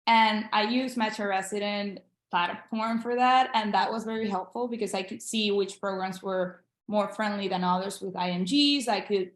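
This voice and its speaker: A woman's voice: medium pitch, moderate pace, few pauses. Young woman from Venezuela, native Spanish speaker, earnest and encouraging, echoey room on a video call. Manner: earnest, encouraging, with frequent restarts. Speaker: guest, international medical graduate. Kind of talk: casual interview.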